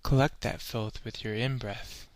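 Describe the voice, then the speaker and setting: Slow, measured man guiding meditation. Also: mid-range voice, steady tone, no room sound. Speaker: meditation guide. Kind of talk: scripted guided meditation.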